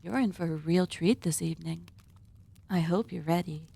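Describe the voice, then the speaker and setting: A woman's voice: lowish voice, gravelly, dark tone, slight background noise. Woman acting a gracious, genteel, sinister dinner hostess. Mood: courteous, inviting. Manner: polite, genteel, deliberate, formal phrasing. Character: gracious, sinister female cannibal surgeon hosting dinner. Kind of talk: ASMR roleplay, scripted monologue addressed to listener.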